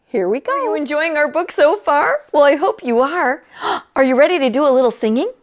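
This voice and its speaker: A woman leading a children's storytime, talking in an animated way and putting questions straight to young listeners. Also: high-pitched, fairly quick. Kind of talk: children's storytime read-aloud and chat.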